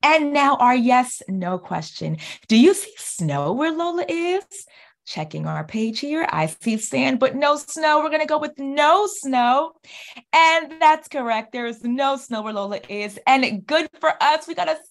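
High-voiced American woman, encouraging teacher. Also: unhurried. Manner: clear, encouraging teacher talk, expressive. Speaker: speech pathologist and children's author. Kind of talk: children's read-aloud with questions. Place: small indoor office, on a video call.

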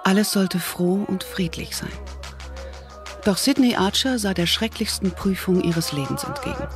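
A woman's voice: medium pitch, animated, moderate pace, music in the background. A woman reading scripted narration for a thriller audio drama.

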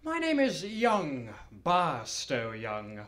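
Radio mystery role: nervous theatrical gent, lovestruck and stammering, pitched fairly high with lively swings. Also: male voice, drawn-out words, heavily acted. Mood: earnest, theatrical. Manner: florid, stammering, flustered. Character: Barstow Young, theatrical, nervous lovestruck stage actor. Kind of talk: radio detective drama acting.

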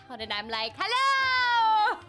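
A woman's voice: extremely high pitch, very animated, takes it slowly, over loud music. A young woman chatting casually on a livestream, playful and exuberant, theatrically acting out little quotes. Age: young adult. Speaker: streamer and voice actor. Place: small indoor room, streaming over a game.

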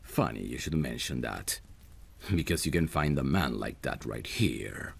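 Very deep, gravelly, tender male friend played by a man. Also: animated, full character voice. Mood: earnest, lovesick. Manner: tender, soothing, unhurried. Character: protective lovesick male friend, gentle and devoted. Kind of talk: ASMR romantic roleplay, slow intimate delivery.

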